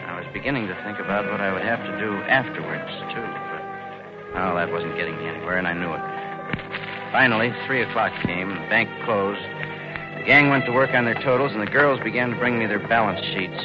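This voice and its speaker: A man's voice: on the low side, a little fast, lightly acted. An actor playing a scheming, nervous bank employee who narrates his own theft in an urgent, confiding radio thriller style. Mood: tense, confiding. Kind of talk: 1940s-50s radio thriller acting and narration. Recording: dull old radio broadcast with music.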